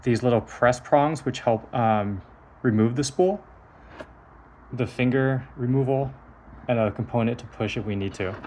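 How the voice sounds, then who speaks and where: A man's voice: medium pitch, a little slow, a little mellow. Man giving a technical demo over background noise. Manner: methodical, explanatory. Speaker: engineer presenting a prototype device. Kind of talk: hands-on technical demonstration.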